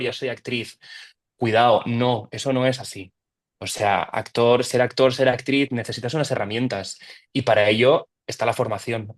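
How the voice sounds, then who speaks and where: Actor, thoughtful and measured. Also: male voice, mid-range voice. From Barcelona, Spain. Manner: thoughtful, explains at length with restarts. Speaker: actor, interview guest. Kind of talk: interview conversation.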